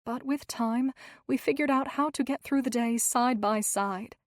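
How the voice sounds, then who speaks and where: A grieving young wife, desperate and pleading, as a woman narrator voices her. Her voice sits a little high and moves slowly, recorded close and dry with no room sound. Each line strains with held-back tears. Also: no room sound, lightly acted. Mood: reflective. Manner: pleading, breaking, emotional.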